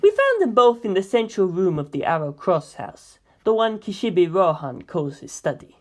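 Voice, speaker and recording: A woman voicing a composed, dryly evasive male mafia member in a measured, matter-of-fact way for a story reading. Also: medium pitch, big pitch swings.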